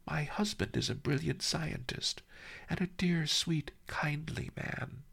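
Male narrator as a dying wife, high, faint and breathy; storybook reading. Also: lively intonation, slow, fairly bright tone, whispered, heavily acted. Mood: pleading. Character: dying gentle wife, frail, whispering.

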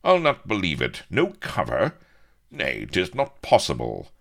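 Indignant, plummy naval hero, pitched up. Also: male voice, sing-song, unhurried, creaky, a bit bright, full character voice. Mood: outraged. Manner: plummy, indignant, schoolboyish exclaiming. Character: pompous, patriotic young male naval hero, easily outraged.

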